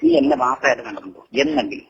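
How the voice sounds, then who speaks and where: A man's voice: medium pitch, huge pitch swings, very fast, vocal fry. A middle-aged man arguing on a phone call, insistent and pleading, repeating himself over and over. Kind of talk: heated private phone argument. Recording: dull phone-call recording.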